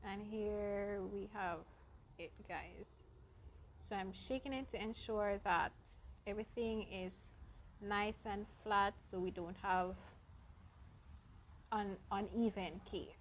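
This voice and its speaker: Woman, slow unhurried delivery, mid-range pitch, casual cooking vlog narration, step-by-step explaining, faint background noise. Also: fairly even intonation, poor audio. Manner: unhurried step-by-step explaining, addressing viewers as guys. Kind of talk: casual baking demonstration narration.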